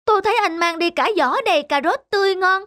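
A scornful female rabbit from a cartoon fairy tale. Her voice is high, and she talks with mocking disbelief like a nosy neighbour. Music plays clearly behind her. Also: fast, fairly bright tone, no room sound, studio quality. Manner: mocking, incredulous. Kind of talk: animated fairy tale acting.

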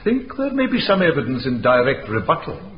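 An actor playing a shrewd, pedantic gentleman lawyer in a radio drama, formal and wry. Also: mid-range voice, wildly swooping pitch, fairly quick. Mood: dry, wry. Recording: dull radio recording, faint noise.

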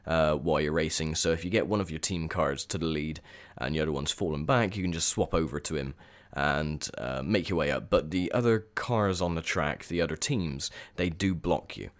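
A young man with a croaky, sore voice, chatting casually through gameplay commentary with lots of ums. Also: extremely low pitch, moderate pace, hardly any pauses. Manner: chatty, rambling with many ums. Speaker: gaming video host.

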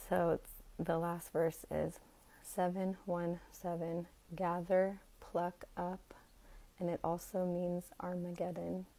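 An American woman in her thirties with a raspy voice, speaking haltingly and casually in a devotional vlog. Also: on the low side, level tone, warm tone. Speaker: religious content creator. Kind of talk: casual devotional vlog about scripture numbers.

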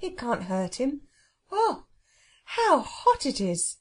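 A woman's voice: high-pitched, wildly swooping pitch, takes it slowly, husky, full character voice. A woman voicing a kind, sensible young girl, a motherly elder sister who soothes and exclaims in a storybook. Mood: cheerful.

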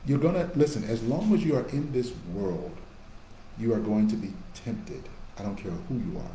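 A man in his fifties preaching a sermon through a webcam in a small office. His voice is low and warm, and the room adds a noticeable echo with a faint hum behind it. He builds to emphatic bursts and then drops back to quiet asides. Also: lively intonation, moderate pace. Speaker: pastor. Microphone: webcam on a video call.

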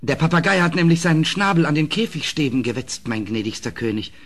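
A scheming little court jester from an old radio drama. He speaks in a husky voice, with courtly flattery and a sly, servile manner. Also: on the high side, fast. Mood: innocent, sly. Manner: obsequious, sly, theatrically courteous. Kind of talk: vintage German radio play acting.

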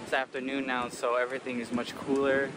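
A man's voice: medium pitch, a little fast, background noise. A young man hosting a casual travel vlog, chatting to the camera he holds at arm's length as he walks around a beach town. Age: young adult. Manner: relaxed, chatty, narrating to camera. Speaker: vlogger host. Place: outdoors at a beach town, wind. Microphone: phone camera held at arm's length. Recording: music playing loudly underneath.

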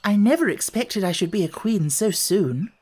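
A man's voice: high voice, expressive, moderate pace, a little mellow, husky, voice pushed up. A man voicing a polite, earnest young girl in an audiobook, prim and a little argumentative. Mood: prim, self-scolding. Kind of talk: audiobook character voice.

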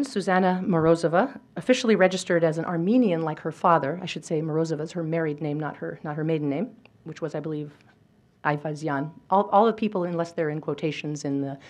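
A woman's voice: low-pitched, fairly quick. A middle-aged woman giving an academic history lecture in a lecture hall, talking thoughtfully with fillers and restarts.

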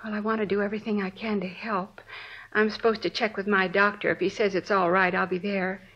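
A woman's voice: medium pitch, little pitch movement, a little fast, a bit bright, natural voice. A middle-aged American housewife acted by a woman in an old radio police drama. Her mid-range voice is plain-spoken and aggrieved, with short phrases, on a slightly muffled vintage recording. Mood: earnest. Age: 40s. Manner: plain-spoken, dropping sentence openings. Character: shaken, indignant forty-two-year-old housewife, crime victim. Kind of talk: 1950s radio drama acting.

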